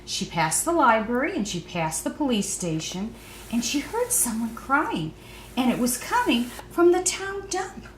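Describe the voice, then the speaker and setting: An older woman reading a children's storybook aloud, pausing to chat with her young listeners. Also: a little high, big pitch swings. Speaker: author and storyteller. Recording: roomy echo, faint background noise.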